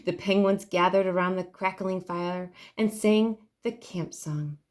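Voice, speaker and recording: A woman's voice: fairly low pitch, measured pace. A woman reading a picture book aloud to children expressively, stretching key words for emphasis. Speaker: library storytime reader.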